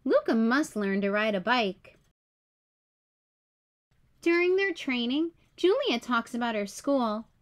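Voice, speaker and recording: A woman reading a children's picture book aloud as a storyteller, in clear, simple, expressive storybook delivery. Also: a little high, takes it slowly, pauses often.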